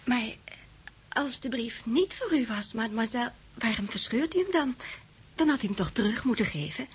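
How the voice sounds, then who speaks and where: A woman playing a persistent young milliner girl in a radio drama, with a husky, breathy voice. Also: a little high, animated, normal speed. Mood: coaxing. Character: pretty young milliner girl delivering love letters, persistent.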